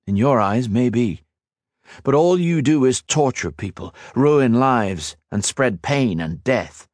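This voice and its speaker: Man playing a defiant young hero, blunt and challenging, slow speech, dry sound, audiobook. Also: mid-range voice, expressive, dry recording, pitched above the performer's own voice.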